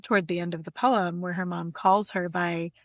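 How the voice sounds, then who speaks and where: A woman's voice: fairly low pitch, steady tone, moderate pace, no room sound, studio quality. A woman speaking as a guest poet and editor in a literary conversation. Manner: effusive, conversational. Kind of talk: casual literary conversation with poem reading.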